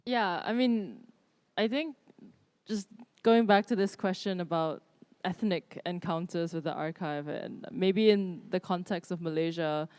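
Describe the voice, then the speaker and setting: A woman's voice: on the low side, a little mellow, clear, resonant tone, no echo. A young woman curator speaking on an art panel in an academic way, with many hedging fillers. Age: young adult. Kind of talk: panel discussion.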